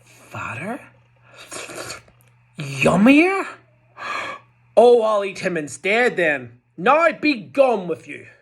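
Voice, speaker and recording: A man's voice: high voice, wildly swooping pitch, very slow, pauses often, voice pushed up, full character voice. A man voicing a greedy, hungry male troll who roars and growls at the goats. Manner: roaring, greedy and gruff.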